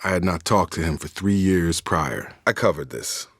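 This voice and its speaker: An actor playing a steady, dutiful space operative in a video game, with a clipped, matter-of-fact military delivery. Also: male voice, sits low, normal speed, no room sound, studio quality, heavily acted. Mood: steady, serious. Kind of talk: scripted video game dialogue.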